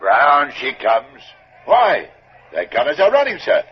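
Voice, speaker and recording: Keen ship's lieutenant, hugely varied pitch, brisk, deferential, vintage radio drama, dull noisy sound. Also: male voice, huge pitch swings, exaggerated voice. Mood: alert, eager. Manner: brisk, deferential, bellows orders. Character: keen, loyal British naval first officer. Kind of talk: 1950s radio drama acting.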